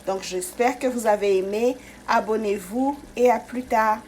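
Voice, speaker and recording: A woman's voice: fairly high pitch, measured pace, a little mellow, slight background noise. Chatty woman cooking, faint sizzle. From Congo-Kinshasa. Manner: chatty, step-by-step, many fillers. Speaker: cooking channel host. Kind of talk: casual cooking tutorial.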